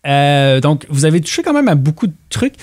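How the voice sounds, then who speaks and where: A man's voice: fairly high pitch, big pitch swings, a little fast, darkish tone, dry recording. French Canadian man hosting, dry studio sound. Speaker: radio interview host. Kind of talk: casual studio interview. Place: radio studio.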